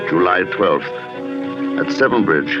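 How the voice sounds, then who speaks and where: An actor playing a brooding, genteel writer with a formal, measured manner in an old-time radio drama. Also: male voice, low voice, huge pitch swings, heavily acted. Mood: brooding, resolved. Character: brooding, genteel writer secretly bent on revenge. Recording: muffled vintage radio recording with music.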